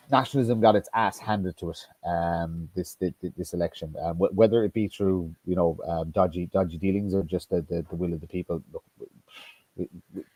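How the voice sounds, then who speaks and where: A man talking politics in a casual discussion, halting with many restarts and fillers, blunt and sometimes swearing. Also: sits low, dark tone, no echo.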